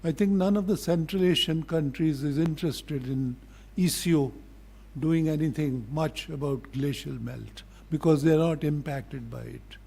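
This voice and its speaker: An older man with a Pakistani accent speaks as a diplomat in a formal public address, in a deliberate, blunt, admonishing manner. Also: on the high side, gravelly, warm tone, slightly rough.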